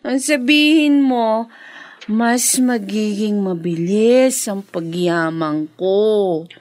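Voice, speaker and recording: A woman's voice: medium pitch, very slow, a little mellow. An actress playing a hardened but devoted young woman in a Filipino radio drama, earnest and melodramatic. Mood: bitter, determined. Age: young adult.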